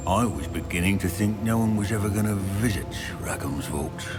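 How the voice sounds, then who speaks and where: A man voicing a menacing, arrogant goblin villain in a video game, growling mockingly polite threats in a deliberate, cold manner. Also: fairly low pitch, lightly acted. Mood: mocking, sinister. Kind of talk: cinematic video game acting. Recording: loud music under the voice.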